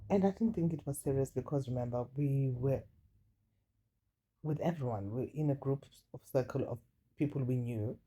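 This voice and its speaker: A woman with a deep voice casually telling a personal story, chatty and confiding, often repeating words for emphasis. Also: normal speed, dark tone, slight background noise, low-quality recording. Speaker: storytime vlogger. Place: indoors while rain falls outside. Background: light rain.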